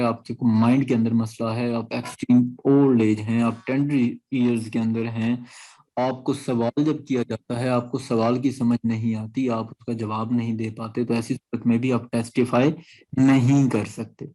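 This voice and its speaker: A man's voice: mid-range voice, warm tone, low-quality recording. A man with a Pakistani accent teaching an exam-prep class, explaining point by point in a didactic way and repeating himself. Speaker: law lecturer. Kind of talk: exam-prep lecture, reading out clauses and explaining them.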